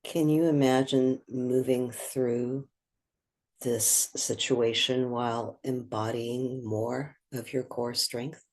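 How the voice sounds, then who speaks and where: This is a guided meditation voice, sitting at a middling pitch. The delivery is slow and measured, with long pauses. It stays soothing and contemplative, gently leading the listener along. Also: fairly even intonation.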